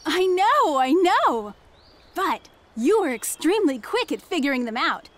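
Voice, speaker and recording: A woman's voice: high-pitched, big pitch swings, exaggerated voice. A woman playing an eager, bubbly young jiu-jitsu fighter in a video game, bouncy and enthusiastic in her delivery. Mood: confident, upbeat. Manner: bouncy, enthusiastic, drawn-out exclamations. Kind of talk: video game cutscene acting. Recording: loud music behind.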